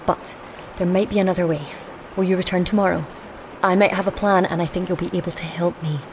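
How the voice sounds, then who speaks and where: A woman playing a proud, lonely, cursed young daughter of a goddess in an audio drama, speaking in a measured, hushed, solemn way. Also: fairly low pitch, steady tone, slight background noise, close to an everyday voice, rough recording. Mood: hopeful. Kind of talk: audio drama acting with first-person narration.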